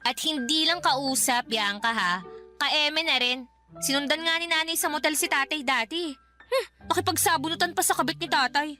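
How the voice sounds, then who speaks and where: An outspoken young Filipina best friend in a radio drama. Her voice is very high and bright, with slangy, teasing talk in a Filipino accent, over a light music bed. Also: normal speed. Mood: breezy, frank. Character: Jem, outspoken, jokey young daughter and loyal best friend.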